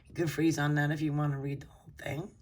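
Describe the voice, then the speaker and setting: A woman with a hoarse voice from a cold, hosting a casual unboxing video and gushing softly over pretty items. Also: low-pitched, level tone, fast talker, background noise. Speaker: unboxing channel host.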